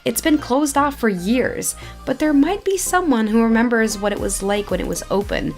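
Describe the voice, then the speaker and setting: A woman's voice: a little high, normal speed, faint music behind, lightly acted. A woman voicing an offhand, unhelpful video game character from a manor family, reading the quest dialogue aloud. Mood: dismissive.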